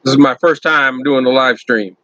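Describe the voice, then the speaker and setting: A man hosting his own channel, chatting loudly and casually to viewers on a first live stream from his computer. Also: mid-range voice, normal speed, dry recording, highs cut off. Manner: loud friendly talker. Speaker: YouTube channel host.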